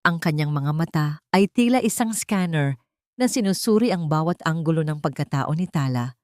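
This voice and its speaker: Low-pitched woman narrating a melodrama. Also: lively intonation, normal speed, no echo, clean studio sound. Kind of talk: dramatic scripted story narration.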